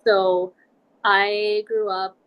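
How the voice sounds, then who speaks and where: A woman in her forties from Nebraska, a podcast guest telling her life story in a reflective, measured way. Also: mid-range voice, little pitch movement, clear, resonant tone, dull audio. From United States, Nebraska. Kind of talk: casual interview talk.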